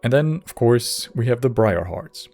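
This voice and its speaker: A man giving scripted narration for a game lore video in a measured, slightly wry way. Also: medium pitch, expressive, darkish tone, soft background music.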